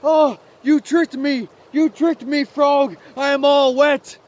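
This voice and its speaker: A man voicing a small, earnest and naive mouse in a story, halting and repeating words. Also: very high voice, expressive, background noise, voice pushed up. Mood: upset.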